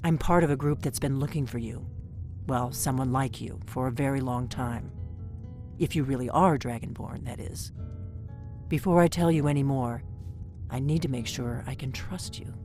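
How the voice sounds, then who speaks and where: A woman's voice: extremely low pitch, moderate pace, mellow, faint music behind. Cautious female innkeeper spy in a video game; conspiratorial, urgent, faint score underneath.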